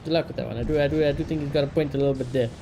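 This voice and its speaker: A man chatting casually on a livestream, reacting and ranting in an animated, blunt, sweary way. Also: mid-range voice, very fast, creaky, slight background noise. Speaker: live streamer.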